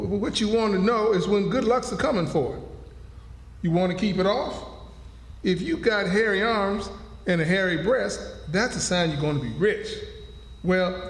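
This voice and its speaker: A man playing a superstitious, fearful runaway in a stage radio drama, with a folksy dialect. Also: high-pitched. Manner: folksy dialect, pleading and repeating himself. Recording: echoey hall, live stage performance.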